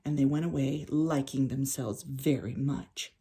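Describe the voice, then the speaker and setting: An older woman with a husky, breathy voice reading a children's story aloud in an unhurried, expressive way. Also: extremely low pitch.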